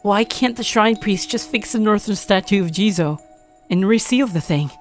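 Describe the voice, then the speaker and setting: A woman's voice: mid-range voice, animated, moderate pace, soft background music, lightly acted. A woman playing an anxious young grandchild who earnestly and dramatically narrates a horror tale. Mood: alarmed. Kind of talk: first-person scripted horror narration with acted dialogue.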